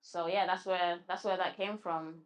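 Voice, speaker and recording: Woman poet, halting and reflective. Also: lowish voice, fairly even intonation. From London. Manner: halting, searching for words with restarts when answering. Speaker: guest poet. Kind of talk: spoken word recital and interview answers.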